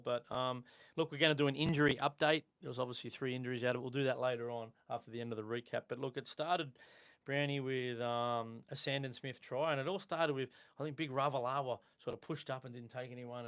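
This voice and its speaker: An Australian man hosting a live sports podcast, chatting in a relaxed way with frequent ums and restarts. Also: mid-range voice. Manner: relaxed, conversational with fillers. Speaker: podcast host. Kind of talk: casual sports chat.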